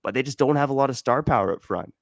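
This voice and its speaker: A man hosting a sports podcast, laying out his views in a measured, stat-heavy way with a lot of "you know". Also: medium pitch, no echo, studio quality. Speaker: podcast host. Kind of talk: casual sports analysis.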